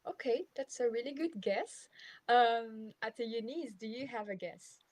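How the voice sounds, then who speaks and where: A woman hosts a casual live radio chat, her voice a little high. She is cheerful and polite, and she laughs easily between questions. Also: measured pace, darkish tone, dry recording. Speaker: radio program host.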